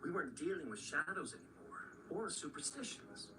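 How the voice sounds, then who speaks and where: A man's voice: fairly high pitch, very animated, brisk. A man acting a shaken novelist recounting horrors in a radio drama, heard as a distant, noisy playback. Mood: haunted. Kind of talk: radio drama narration and dialogue.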